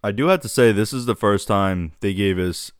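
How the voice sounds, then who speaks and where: A man's voice: on the low side, moderate pace, clean tone, no room sound, studio quality. A man co-hosting a podcast in a casual, laid-back way, often calling his co-host dude.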